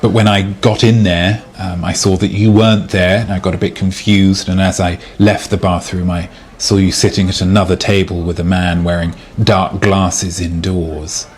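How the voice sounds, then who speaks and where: A man playing a knowing, wordy date who calmly confronts his two-timer, explaining at length in a comedic dramatization. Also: deep voice, normal speed, few pauses, lightly acted. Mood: calm, hurt. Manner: explains at length in orderly detail. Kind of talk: acted comedic date dialogue.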